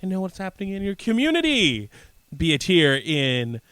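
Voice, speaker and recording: A man's voice: high-pitched, huge pitch swings, moderate pace, fairly bright tone, dry recording. A man co-hosting a radio show, trading casual local-news banter in a jokey, mock-serious way. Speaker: co-host of a community radio show.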